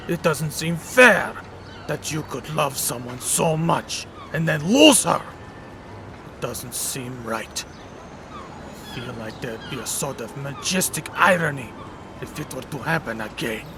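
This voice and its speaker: A man playing an unhinged, taunting male supervillain in a comic book dub, sly and mock-wistful as he makes deliberate threats. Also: mid-range voice, animated, background noise.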